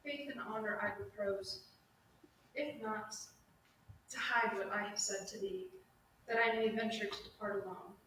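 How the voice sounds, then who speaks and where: A woman's voice: mid-range voice, steady tone, moderate pace, pauses often, a bit bright, close to an everyday voice. A woman playing an earnest, courtly young lady, declaiming theatrically in a staged Shakespeare reading. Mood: earnest, secretive. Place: live staged reading, recorded from audience distance. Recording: very echoey room, distant mic, faint noise.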